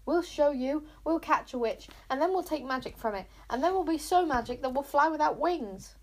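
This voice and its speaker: A woman voicing a hot-tempered boy wizard with no magic, hissing and shouting. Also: on the high side, fairly quick. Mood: furious. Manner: hissing, spitting, shouting in anger.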